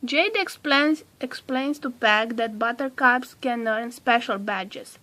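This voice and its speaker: A woman with a fairly high voice reads a children's storybook aloud. She goes very slowly and deliberately, stretching certain words as she narrates. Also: pushed and bright.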